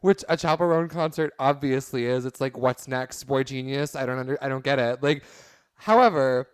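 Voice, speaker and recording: Smooth, close-sounding young man. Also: medium pitch, normal speed, no echo. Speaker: podcast panelist. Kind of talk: casual comedic panel chat.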